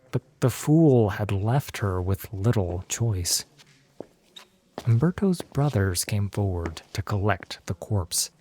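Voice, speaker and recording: Husky, slow, dramatic fantasy narrator. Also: low-pitched, a little mellow.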